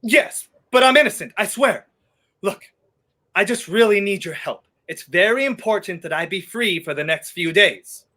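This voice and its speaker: A man's voice: high-pitched, lively intonation, moderate pace, sharp tone. A young man acting an urgent, charming man on the run in a 1930s-style radio play. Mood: pleading, earnest. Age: 20s.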